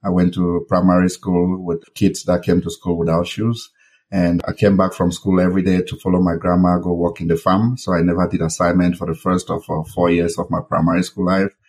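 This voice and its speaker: A man's voice: low voice, level tone, brisk, a little mellow, breathy edge. A man in his forties from Cameroon, a nurse and public health expert, telling his story earnestly as a podcast guest. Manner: earnest, storytelling with fillers and restarts. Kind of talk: conversational interview.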